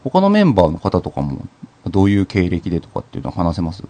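A low-voiced man hosting a casual podcast chat. He talks quickly with very animated pitch, joking and playfully repeating his guest's words back. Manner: jokey, echoes and riffs on guest's words. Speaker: host.

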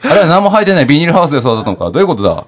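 A man's voice: fairly high pitch, sing-song, very fast. A man hosting a casual comedic radio chat, teasing and mock-scolding his co-hosts. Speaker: radio show host.

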